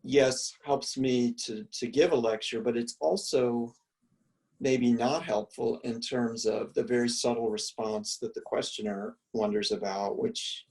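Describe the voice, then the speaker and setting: A male art history professor musing aloud in relaxed conversation, full of restarts and fillers, speaking from a room at home on a video call. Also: medium pitch, unhurried, far from the mic, poor audio. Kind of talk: reflective conversational answers.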